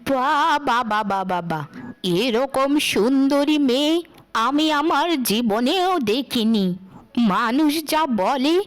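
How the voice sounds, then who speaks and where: A woman's voice: high-pitched, lively intonation, slow pace. A woman playing an effusive, bossy older village matriarch in a children's cartoon. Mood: gushing delight. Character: gushing older village matriarch, groom's mother.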